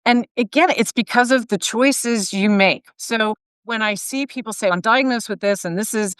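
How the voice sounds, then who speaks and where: A woman hosting a podcast interview, talking in a blunt, emphatic, animated way. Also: on the high side, normal speed, clear, resonant tone, no room sound. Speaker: podcast host. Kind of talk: casual interview conversation.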